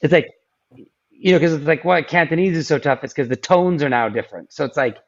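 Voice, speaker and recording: A man's voice: on the high side, fairly quick, dull audio. A man as a podcast guest, chatting casually with many ums and restarts. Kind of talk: casual interview chat.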